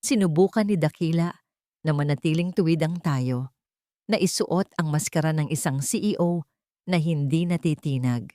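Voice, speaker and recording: A woman's voice: deep voice, normal speed, dark tone, dry recording, clean studio sound. A woman with a Filipino accent narrating a scripted dramatic story.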